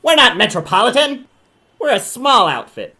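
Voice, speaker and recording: Bossy male office chief, sharp bright voice, swooping pitch, brash, dismissive, radio drama. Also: big pitch swings, normal speed, full character voice. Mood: blustering. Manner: bossy, blustering. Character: brash, dismissive male boss of a small insurance outfit. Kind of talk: noir radio drama acting.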